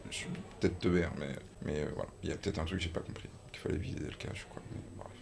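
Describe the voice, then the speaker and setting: A man's voice: low voice, fairly even intonation, rapid-fire, creaky, darkish tone, slight background noise. A man in casual podcast chat, joking about himself and swearing freely as he talks food and computers. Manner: loose, swearing, self-mocking. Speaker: guest cook.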